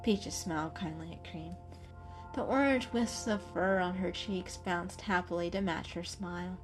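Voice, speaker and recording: A woman's voice: lowish voice, unhurried, low-quality recording. A woman storyteller giving a dramatic storybook narration. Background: music.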